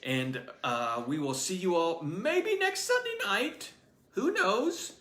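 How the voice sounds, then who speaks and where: A man reading his own novel aloud as storybook narrator, expressive and lively. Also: high voice, slow. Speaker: author reading his book. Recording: roomy echoey room.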